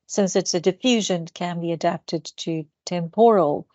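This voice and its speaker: A woman's voice: on the low side, normal speed, mellow, no echo, highs cut off. Turkish-accented woman on a video call. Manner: hesitant, many fillers and restarts. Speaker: seminar speaker. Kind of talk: academic Q&A discussion.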